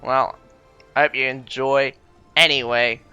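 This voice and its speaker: Bright, clear-voiced young male caster. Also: medium pitch, fairly even intonation, measured pace, dull audio, faint music behind. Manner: chatty, self-deprecating, answers chat. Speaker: game caster and streamer. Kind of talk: live game commentary with chat banter.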